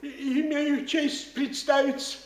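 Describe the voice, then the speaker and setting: An actor playing a timid, hesitant provincial school inspector. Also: male voice, very high-pitched, little pitch movement, takes it slowly, reverberant, background noise. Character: timid school inspector, man, middle-aged. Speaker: actor in a radio play.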